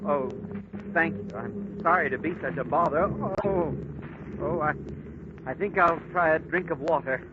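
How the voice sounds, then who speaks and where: An actor playing a meek, apologetic passenger who is sick and shaky on a plane in a radio drama. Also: male voice, a little high, normal speed, long pauses, lightly acted. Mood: queasy. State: nauseous, shaky. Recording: lo-fi old radio recording with hiss.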